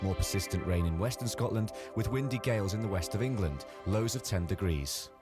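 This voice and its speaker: A man's voice: lowish voice, lively intonation, a little fast. A man reading a scripted radio weather bulletin. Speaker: weather announcer. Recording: loud music bed behind voice.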